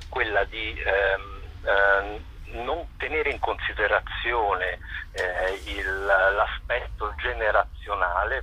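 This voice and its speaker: A man giving unscripted interview answers as a festival director, speaking haltingly with drawn-out words and repetitions. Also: lowish voice, pushed and bright, husky, low-quality recording.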